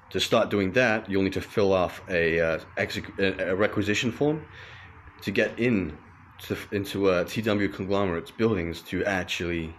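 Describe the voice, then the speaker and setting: A man's voice: low voice, slight background noise. An actor playing a nervous young grad student delivering orders, stumbling over his words.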